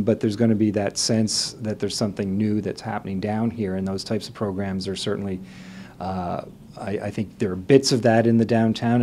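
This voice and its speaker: A man giving unscripted interview answers as a city planner, halting and repeating words as he thinks. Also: lowish voice, mellow.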